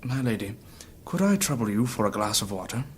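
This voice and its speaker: A man's voice: on the low side, big pitch swings, fairly quick, slight background noise. A man playing a wounded, earnest adventurer who tells his story courteously and formally in a fantasy audio drama. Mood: intense.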